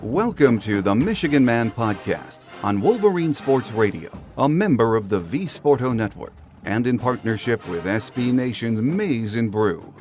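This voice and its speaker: A middle-aged man hosts a sports podcast, reading from a script in a mid-range voice. Soft music sits behind him. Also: mid-range voice. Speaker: podcast host.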